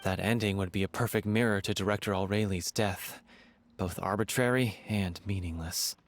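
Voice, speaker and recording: Guy voicing a sad earnest theater guy, lowish voice, bit of hiss behind. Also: steady tone, moderate pace, lightly acted. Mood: somber. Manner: earnest, heartfelt confession. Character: grieving young male troupe member, earnest. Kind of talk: English game voice acting.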